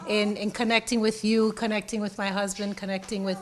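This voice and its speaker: A woman from Jamaica, a college IT teacher, answering interview questions in a reflective, unhurried way. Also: mid-range voice, little pitch movement. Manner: reflective, unhurried, speaks of slowing down. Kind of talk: relaxed conversational interview answers.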